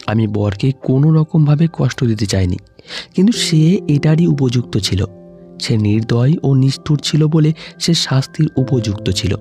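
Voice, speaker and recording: A man's voice: mid-range voice, warm tone. A man narrating a scripted, emotional first-person audio story. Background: faint background music.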